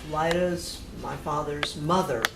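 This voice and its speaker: American woman recounting family history, echoey room. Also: low voice. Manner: detailed, pausing to recollect. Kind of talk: casual explanatory family history talk. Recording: echoey room with clear noise.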